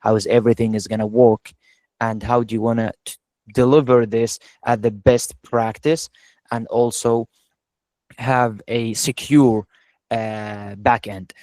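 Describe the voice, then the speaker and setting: A man from Syria speaks informally as a guest engineer on a webinar. His mid-pitched voice stays fairly even and plain-spoken, with a dry, close sound. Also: unhurried, dry recording. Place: online video call.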